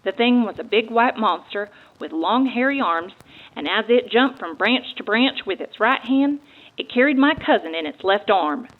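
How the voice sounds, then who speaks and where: A woman's voice: on the high side, expressive, moderate pace. A woman giving a dramatic reading of eyewitness accounts from a book. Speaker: guest reader. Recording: thin, phone-like narrow band.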